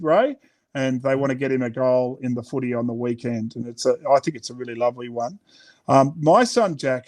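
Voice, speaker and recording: Australian male author as interview guest, middle-aged, mid-pitched voice, sincere and thoughtful, conversational. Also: a little mellow.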